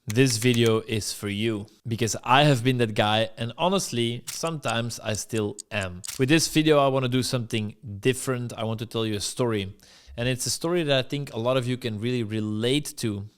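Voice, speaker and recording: A man's voice: medium pitch, moderate pace, clean tone. A young adult man from Belgium, a dating coach, tells a candid, blunt and self-deprecating personal story straight to camera.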